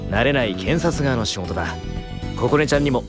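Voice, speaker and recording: Actor as an easygoing, animated male mentor lawyer. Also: medium pitch, a little fast, over music, lightly acted. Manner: casual, encouraging, gently teasing. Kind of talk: Japanese audio drama acting.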